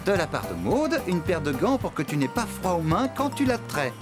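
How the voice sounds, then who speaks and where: A man's voice: sits high, very animated, moderate pace, music in the background. A man voicing an easygoing, untidy farmhand in a children's cartoon. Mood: cheerful, festive. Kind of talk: French children's cartoon dubbing.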